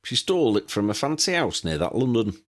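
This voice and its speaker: A man's voice: medium pitch, sing-song, brisk, voice pushed up. A man voicing an eccentric, chatty old aeroplane pilot in a storybook, jaunty, rambling and folksy.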